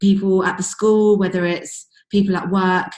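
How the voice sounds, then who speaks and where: A woman with a husky, fading voice, coaching her sales team on a call in a chatty way full of fillers. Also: medium pitch, little pitch movement, normal speed, darkish tone. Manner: chatty, many fillers like "um" and "you know". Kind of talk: casual motivational coaching talk. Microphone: video call. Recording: echoey room over a video call.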